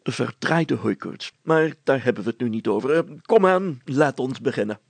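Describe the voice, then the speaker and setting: A man's voice: medium pitch, animated, normal speed, gravelly, husky, no echo. A man voicing a pompous, blustering village mayor from a storybook, speaking in grandiose, declamatory style. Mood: proud, blustering. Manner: grandiose, declamatory, easily indignant. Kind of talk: storybook character voice.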